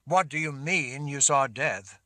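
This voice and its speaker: A man's voice: medium pitch, a little slow, sharp tone, no echo, voice pushed up. A man voicing a protective lord who speaks in a firm, insistent voice.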